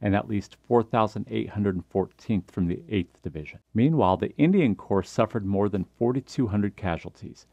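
A man's voice: lowish voice, moderate pace, few pauses, mellow, dry recording, lo-fi sound. A man reading a scripted history documentary narration as the narrator.

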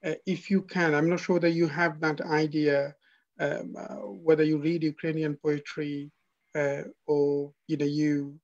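A man with a Bangladeshi English accent hosts an online poetry talk. He asks his questions haltingly, stopping and restarting with many fillers, and murmurs steady agreement. His sound arrives dull and lo-fi over the call. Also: on the high side. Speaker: interview host. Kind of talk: live online interview. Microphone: video call mic.

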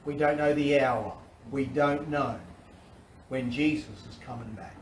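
A middle-aged man with an Australian accent, preaching an animated sermon from a covered outdoor deck, with repetitions and restarts. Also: mid-range voice, unhurried, a little hiss or hum. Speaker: pastor. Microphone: far camera. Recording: roomy and distant with faint ambience.

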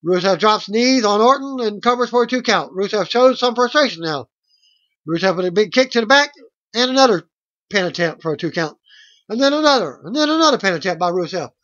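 A middle-aged man reading out a wrestling results recap as host, in a halting way, on a webcam mic at home. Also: high voice, expressive, a bit bright. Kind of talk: read-out play-by-play results recap. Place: small room at home, indoors. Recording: dull lo-fi webcam audio.

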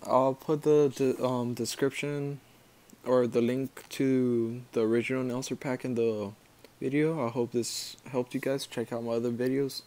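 A man narrates a screen-recorded how-to in a casual, unpolished way. His voice sits at an ordinary middle pitch. He explains things step by step, halting now and then as he finds his words. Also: level tone, mellow. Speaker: tutorial maker.

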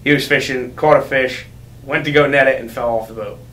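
A man's voice: medium pitch, steady tone, fairly quick, a bit bright. A man in his twenties telling casual, rambling fishing-buddy anecdotes with crude humor in a laid-back way. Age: 20s. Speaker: interviewee, recreational angler. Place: indoors against dark backdrop. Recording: echoey room, faint background noise.

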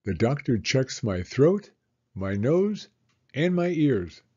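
An older man, a longtime teacher, reading a children's picture book aloud in a gentle, expressive storytelling voice. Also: mid-range voice, slow pace, breathy edge, muffled recording. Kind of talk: children's read-aloud narration.

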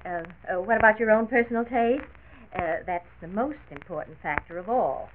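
A woman's voice: mid-range voice, animated, normal speed, lightly acted. An American actress playing a proud, easily hurt single career woman in love in a 1940s radio drama. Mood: businesslike, friendly. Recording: muffled, noisy 1940s radio transcription disc.

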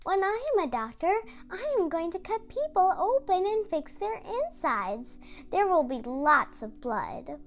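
Woman as a confident little girl, high voice. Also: big pitch swings, unhurried, faint music behind, full character voice. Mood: assured. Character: matter-of-fact, confident little girl in a picture book.

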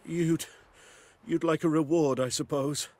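A man playing a humble village trader in a fantasy game. His voice sits fairly high, with a grateful, talkative manner. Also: fairly even intonation, a little slow, darkish tone, natural voice. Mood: shaken, relieved. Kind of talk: video game acting.

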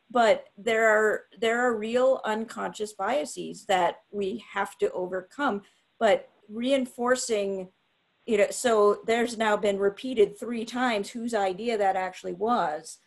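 A woman scientist speaking frankly on a webinar panel, deliberate and restarting often. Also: medium pitch, vocal fry. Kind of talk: panel discussion answer. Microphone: webinar video call.

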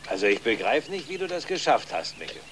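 An amiable, admiring farmhand in a children's film. His voice is mid-pitched and easygoing, a little teasing, over clear farmyard noise. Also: sing-song, fast, a bit bright. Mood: amused, admiring. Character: friendly, admiring farmhand, amused by boy. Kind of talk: German-dubbed children's film acting.

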